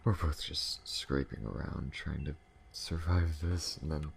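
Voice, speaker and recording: A man playing an alien spaceship captain in an intimate ASMR audio drama, speaking haltingly. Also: extremely low pitch, fairly even intonation, gravelly, slight background noise, exaggerated voice. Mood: tender, confessing. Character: adult male alien spaceship captain, tender lover, hardened to enemies.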